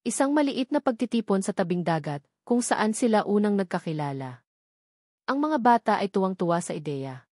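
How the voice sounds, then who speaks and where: A woman's voice: mid-range voice, animated, normal speed, a little mellow, no echo, clean studio sound. A woman reading a scripted, dramatic love story as its narrator.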